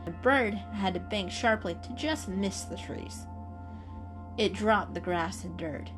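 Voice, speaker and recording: A woman's voice: medium pitch, slow. Woman reading a dramatic story over music.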